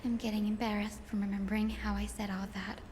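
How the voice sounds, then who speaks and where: A woman voicing a gentle, hopeful young healer in a fantasy video game, speaking softly and sincerely. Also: medium pitch, little pitch movement, fast, slight background noise, natural voice. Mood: sincere, determined. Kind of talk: scripted game voice acting. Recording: long reverb under loud game music.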